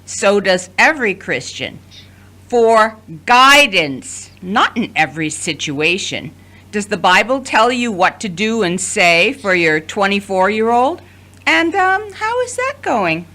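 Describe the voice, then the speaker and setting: Domineering wry mom acted by a woman, slow, forceful and very animated, theatrical audio drama delivery. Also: medium pitch, exaggerated voice. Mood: pointed, coaxing. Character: domineering, wry mother of adult children.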